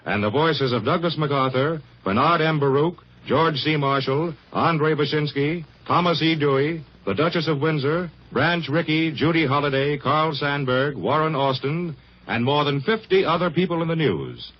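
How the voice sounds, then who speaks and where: A man's voice: a little high, normal speed. A man with an American accent announcing a radio program in a grand, formal 1950s style. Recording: vintage radio broadcast, dull, loud music behind.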